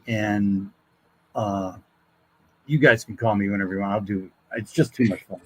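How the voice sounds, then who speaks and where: A man's voice: on the low side, steady tone, moderate pace, husky, poor audio. An older American man, a voice actor appearing as a guest, tells casual anecdotes and acts out the quoted dialogue in them.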